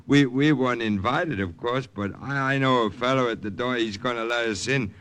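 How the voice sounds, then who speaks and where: An actor playing a self-serving, cynical young brother, breezy and defensive, in an old-time radio drama. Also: male voice, mid-range voice, moderate pace, fairly bright tone, natural voice. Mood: breezy, eager. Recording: vintage radio drama recording.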